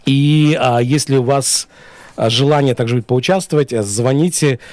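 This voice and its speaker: A man's voice: medium pitch, fairly quick, a little mellow, no echo. A man hosting a radio interview in a studio, talking hesitantly with many fillers. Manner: hesitant, many fillers, switching between two languages. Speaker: radio host.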